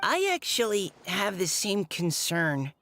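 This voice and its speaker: A woman's voice: mid-range voice, very animated, takes it slowly, fairly bright tone. A woman voicing a shy, modest young boy knight in a video game, polite and hesitant with a stammer.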